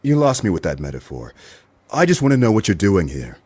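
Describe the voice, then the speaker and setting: An actor playing a weary, suspicious private detective in a noir radio play, with a dry, sardonic deadpan manner. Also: male voice, sits low, a little fast, breathy edge, no room sound, exaggerated voice. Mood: confrontational, dry.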